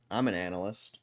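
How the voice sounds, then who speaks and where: Laid-back male streamer with a lowish voice, going hoarse, mutters and swears casually at his desk mic. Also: slight background noise. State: losing his voice, throat-clearing. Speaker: stock market live streamer. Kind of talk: casual live stream chat.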